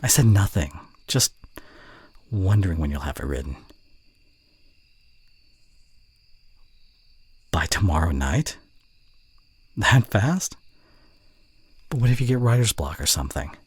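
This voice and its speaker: Male actor as young college guy, deep husky voice, animated intonation, teasing and fond, intimate ASMR roleplay, pausing often. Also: no room sound, exaggerated voice. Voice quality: breathy, often slipping into near-whisper. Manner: intimate, teasing, halting with pauses. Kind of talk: scripted ASMR roleplay addressed to the listener.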